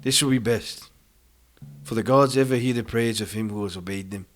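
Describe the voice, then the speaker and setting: Male actor as proud Greek hero, aggrieved, declamatory, archaic phrasing, audiobook drama, soft music behind. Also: lowish voice, normal speed, natural voice. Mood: low, grim. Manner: declamatory, archaic formal speech. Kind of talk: dramatized audiobook acting of epic verse.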